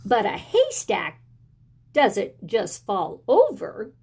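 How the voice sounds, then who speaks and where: A woman's voice: a little high, huge pitch swings, slow pace, darkish tone, husky, exaggerated voice. A woman voicing an incredulous parent questioning a child in a picture book read-aloud, in a disbelieving manner.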